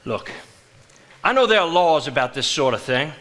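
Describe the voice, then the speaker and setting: A greedy, blustering husband. His voice sits high and swings widely in pitch. He is indignant and quick to sputter about what he is owed. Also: animated, a bit bright. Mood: suspicious, demanding. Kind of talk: live stage play acting.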